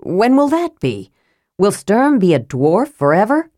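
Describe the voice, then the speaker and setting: A woman voicing an anxious, hot-headed young male warrior, loud and pleading. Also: mid-range voice, big pitch swings, measured pace, no room sound, clean studio sound. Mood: distressed.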